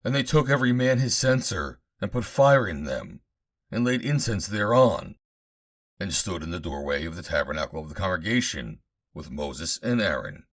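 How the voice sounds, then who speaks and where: A man's voice: deep voice, sing-song, moderate pace. A man reading scripture as dramatic narration in a solemn, emphatic, declamatory manner. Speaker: Bible reader.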